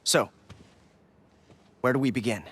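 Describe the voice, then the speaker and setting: A man's voice: medium pitch, measured pace, natural voice. A man playing a casual, eager teenage boy adventurer with a breezy, joking manner in a video game cutscene. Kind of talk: animated game cutscene acting.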